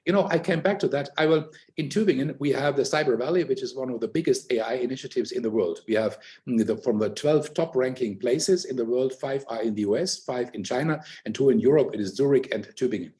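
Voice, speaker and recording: An older man speaking in a thoughtful, explanatory way, pausing often with fillers in a conversation. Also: medium pitch, dark tone. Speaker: academic guest in a conversation. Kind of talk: reflective conversational talk.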